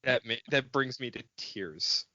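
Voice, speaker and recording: A man's voice: mid-range voice, lively intonation, unhurried, highs cut off, heavy background noise. A man chatting casually as a podcast co-host, swearing and being playfully melodramatic. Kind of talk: casual fan podcast chat.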